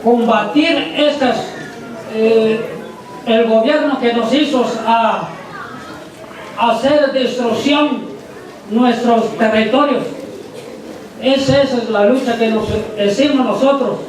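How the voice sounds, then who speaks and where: A man speaks slowly in short, halting phrases to an assembly. His pitch is extremely high. The recording is noisy and distant, with heavy echo. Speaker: community speaker. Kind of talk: plain-spoken testimony to an assembly. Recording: echoey, distant, noisy room sound.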